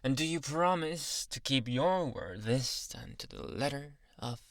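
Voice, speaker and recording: A man playing a jealous, obsessively loving anime boyfriend in an ASMR roleplay, breathy and often whispering, slow and menacingly tender, close to the microphone. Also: medium pitch, animated, no room sound. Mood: coaxing, menacing. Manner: slow, intimate, menacingly tender, lingering on words. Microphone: very close, dry ASMR microphone.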